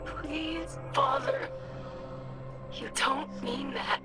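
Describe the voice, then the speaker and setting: A woman voicing a gentle, earnest young female alien scholar in a video game, breathy, polite and precise, with an emotive delivery. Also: sits high, fairly even intonation, very slow, loud music behind the voice. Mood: anxious, pleading. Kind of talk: video game character acting.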